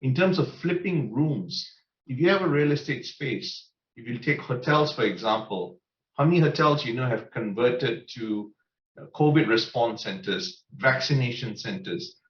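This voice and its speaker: A man's voice: mid-range voice, lively intonation, normal speed. Male panelist on a video call, confident explainer, roomy distant sound, slightly dull line. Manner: confident, explanatory, tags with "right?". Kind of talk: webinar panel answer.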